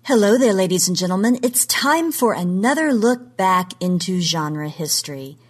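An American woman from Oklahoma presenting a scripted podcast talk in an articulate storytelling style with measured emphasis. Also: medium pitch, lively intonation, breathy edge. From Oklahoma, United States.

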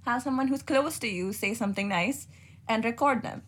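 Husky young woman giving candid advice. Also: fairly high pitch, moderate pace. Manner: candid, self-mocking, with many fillers and restarts. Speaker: guest. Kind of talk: casual advice chat.

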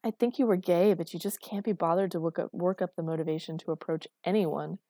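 A bitter mother in her thirties, voiced by a woman narrator, cutting and scornful. Her voice is mellow and slightly low, in a dry storybook recording. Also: fast talker, no echo. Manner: berating, cutting. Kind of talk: audiobook character dialogue.

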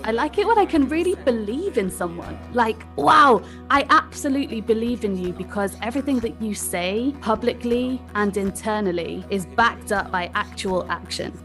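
A woman's voice: fairly high pitch, lively intonation, moderate pace, hardly any pauses, a bit bright, faint music behind. A woman speaking in an enthusiastic, conversational way in an interview clip.

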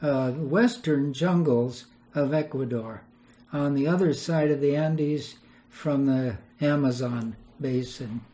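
A man's voice: mid-range voice, slow pace, warm tone. An older man, a retired missionary, telling rambling anecdotes in an interview and chuckling as he goes. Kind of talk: storytelling interview.

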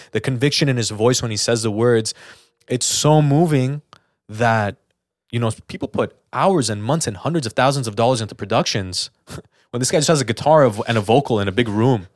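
A man's voice: mid-range voice, a little fast, no room sound, studio quality. A man co-hosting a podcast, chatting casually and earnestly, informal and given to repeating himself for emphasis. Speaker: podcast co-host.

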